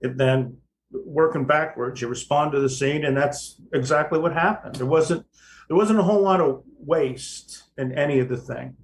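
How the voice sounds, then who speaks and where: A man's voice: a little high, normal speed, a little hiss or hum. An American man co-hosting a talk, giving casual expert commentary in an emphatic, plain-spoken and wry way. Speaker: podcast co-host and firefighting instructor.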